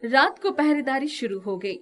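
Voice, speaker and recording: Female storyteller, slightly high voice, for scripted narration of a folk tale. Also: sing-song, normal speed, fairly bright tone.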